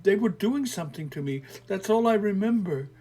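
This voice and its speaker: A man's voice: sits high, expressive, a little fast, warm tone, pitched above the performer's own voice. A man reading an audio story, voicing a frightened teenage girl who insists she was abducted and pleads to be believed. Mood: shaken recounting. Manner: pleading, defensive, swearing in frustration.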